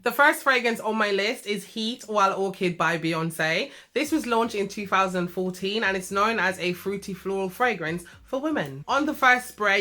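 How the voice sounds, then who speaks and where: A woman's voice: mid-range voice, bright, forceful tone. A young British woman reviewing fragrances in a chatty, affectionate way, sounding slightly nasal from a cough. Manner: chatty and affectionate, full of asides to viewers. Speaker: beauty vlogger.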